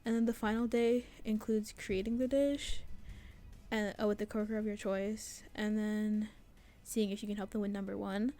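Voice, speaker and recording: Chatty young woman, mellow voice. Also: mid-range voice, flat delivery, moderate pace. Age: young adult. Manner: rambling, many fillers and restarts. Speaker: student vlogger. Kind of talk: casual devlog chat.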